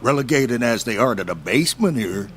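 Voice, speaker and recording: An actor playing an eccentric, monster-obsessed man in a fiction podcast, with a husky voice and grandiloquent, bookish phrasing. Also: medium pitch, animated, brisk, a bit bright, slight background noise, lightly acted. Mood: grumbling, guarded.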